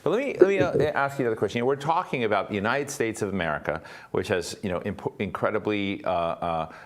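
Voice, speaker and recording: Low-voiced male panel moderator. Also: lively intonation, quick pace. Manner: conversational, searching, with fillers and rephrasing. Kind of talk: panel interview questions.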